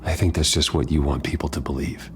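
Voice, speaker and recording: A man playing a brooding, earnest, injured billionaire vigilante in a video game, speaking with subdued, gruff sincerity. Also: very deep voice, steady tone, fast, slight background noise. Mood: subdued, sincere. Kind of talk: naturalistic video game acting.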